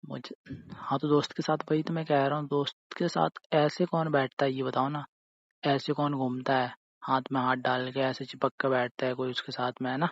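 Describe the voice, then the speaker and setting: A man acts out a young boyfriend in a phone-call drama, hurt and jealous. His delivery is flat and narrow in pitch, sulky and repetitive, pressing each accusation with little tag questions. The audio is dull and lo-fi. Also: moderate pace, dry recording, lightly acted. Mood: hurt, sarcastic. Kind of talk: acted phone-call drama in casual conversational speech.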